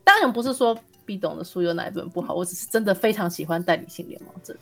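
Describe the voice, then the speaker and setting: A woman's voice: fairly low pitch, expressive, fast talker, creaky, soft background music. A woman with a Taiwanese Mandarin accent, chatting casually as a podcast guest. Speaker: podcast guest, fiction writer. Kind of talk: casual chat.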